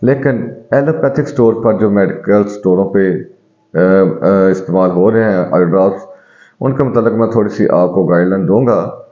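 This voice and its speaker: A man who is a homeopathic doctor, calmly explaining home eye remedies to his viewers and addressing them as friends. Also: fairly low pitch, normal speed. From Pakistan. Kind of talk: health advice video to viewers. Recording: dull, echoey room recording.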